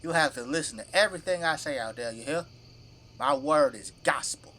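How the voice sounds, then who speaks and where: Man playing zombie-fighting father, forceful tone, plain-spoken, folksy, commanding, middle-aged, audio drama. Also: a little high, brisk, a little hiss or hum. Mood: stern, instructive. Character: gruff, devoted father working as a zombie-burning government janitor.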